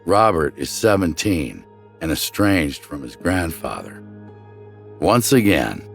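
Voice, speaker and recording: Deep low voiced guy reading true crime podcast narration, measured n dramatic, bit of music behind. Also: very animated, creaky. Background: background music.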